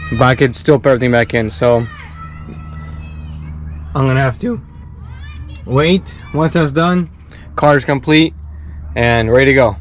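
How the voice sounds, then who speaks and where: Hoarse male car vlogger, casual and upbeat, recorded outdoors on camera with steady background noise. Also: medium pitch. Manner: chatty, encouraging, halting phrasing. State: raspy from feeling unwell. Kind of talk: casual talk while working on a car.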